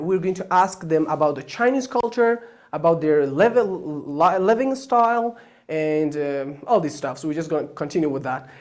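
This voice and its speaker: Hesitant host. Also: a little mellow. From Afghanistan. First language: Persian and Pashto. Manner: hesitant, many fillers and restarts, pitch jumping up on key words. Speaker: online Chinese course host. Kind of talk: unscripted explanation of a course plan.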